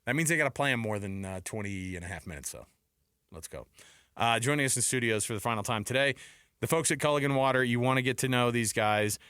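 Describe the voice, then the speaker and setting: Male sports talk host, medium pitch, bright dry studio sound, informal banter and sponsor reads. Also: animated, fast talker, smooth, no room sound. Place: radio studio. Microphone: close studio microphone.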